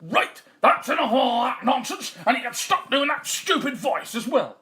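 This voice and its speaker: Storybook wife, played by a man, raised pitch, loud, sharp, no-nonsense scolding. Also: lively intonation, moderate pace, reverberant. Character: bossy, scolding farmer's wife, adult woman.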